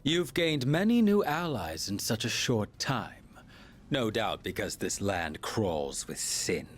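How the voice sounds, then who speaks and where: An actor voicing an eerily polite, menacing villain in a video game, theatrical and drawn-out. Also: male voice, lowish voice, big pitch swings, faint music behind. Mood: calm menace.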